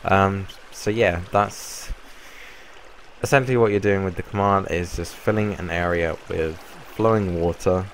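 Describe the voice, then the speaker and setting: A young man with a croaky voice from a cold, casually explaining a game build in a rambling way. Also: deep voice, measured pace, a little hiss or hum. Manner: relaxed, rambling explanation with fillers. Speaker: Minecraft YouTuber. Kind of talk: casual gameplay tutorial.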